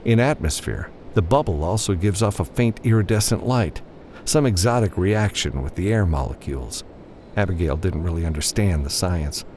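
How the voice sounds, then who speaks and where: A man narrating scripted action fiction as an audiobook reader, in a dramatic storytelling style. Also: fairly low pitch.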